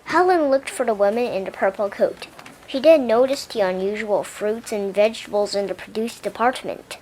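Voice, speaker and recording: A woman reading a children's picture book aloud as an expressive narrator. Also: mid-range voice, moderate pace, a little hiss or hum, rough recording. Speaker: storybook reader.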